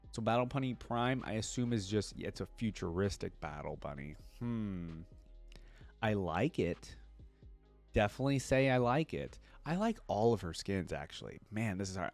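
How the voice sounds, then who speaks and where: A man's voice: fairly low pitch, a little mellow, dry recording. A man giving casual, slangy reaction commentary as a video host, thinking aloud as he goes.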